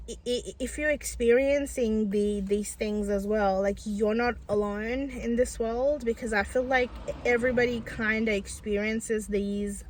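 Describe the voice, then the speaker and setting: Woman vlogger who stutters, talking slowly and sincerely to camera. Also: on the high side. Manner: stutters, with repeated sounds and restarts; earnest and reflective, many fillers. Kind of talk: personal vlog monologue.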